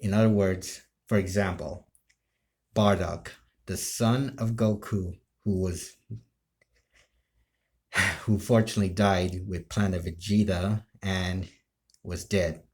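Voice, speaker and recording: Slow, low-voiced man rambling through a story. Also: fairly even intonation. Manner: halting, restarting explanations in short broken phrases. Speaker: amateur video creator telling his role-play ideas.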